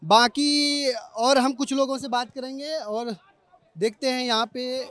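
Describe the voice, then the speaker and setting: A man's voice: extremely high pitch, fairly even intonation, takes it slowly, fairly bright tone. A man working as a street reporter, putting questions to members of the public in vox pop interviews.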